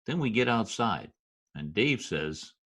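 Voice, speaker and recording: A man's voice: fairly low pitch, sing-song, a little slow, fairly bright tone, heavily acted. A man playing a wry, skeptical Broadway wise guy in a 1940s-style radio drama, wisecracking in stiffly formal slang. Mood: wry storytelling. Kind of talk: old-time radio drama, present-tense slangy narration and dialogue. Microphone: video call recording.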